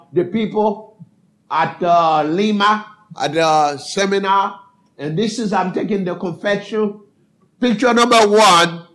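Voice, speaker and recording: Older Haitian-accented man, higher-pitched voice. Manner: halting non-native English with short phrases and repeated appeals. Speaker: missionary preacher. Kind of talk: informal missionary presentation to a congregation.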